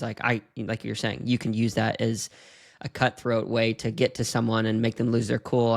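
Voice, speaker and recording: A man in his early thirties with a mid-pitched, flat voice. As a podcast host he speaks openly, almost confessionally, about his own mistakes. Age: 30s. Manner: open, confessional musing. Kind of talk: podcast interview.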